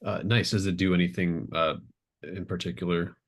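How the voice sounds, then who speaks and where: A man's voice: deep voice, steady tone, normal speed. A man narrating a tabletop game as game master, improvising in a casual, hesitant, thinking-aloud way. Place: remote online group call.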